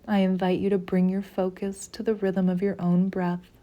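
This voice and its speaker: A woman guiding a meditation in a soothing, measured narration with long pauses between phrases. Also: medium pitch, level tone, dull audio. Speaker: meditation guide. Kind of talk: slow guided meditation narration.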